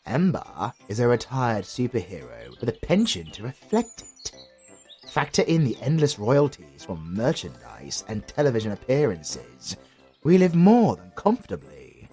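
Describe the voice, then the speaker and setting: A man's voice: lowish voice, darkish tone, full character voice. A British man playing a retired supervillain in a comedy audio roleplay, dry, pompous and articulate, with sardonic exasperation. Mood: weary, dry.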